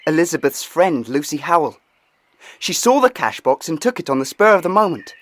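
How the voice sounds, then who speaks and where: An actor playing an earnest, worried, well-spoken young brother in a children's audio drama. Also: male voice, a little high, very animated, a little fast, a bit bright.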